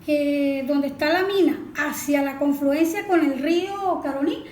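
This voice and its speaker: A woman's voice: high-pitched, unhurried, darkish tone. A woman with a Venezuelan accent giving a didactic technical explanation as a specialist, often posing rhetorical questions. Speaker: watershed management specialist, guest analyst. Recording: echoey room, background noise.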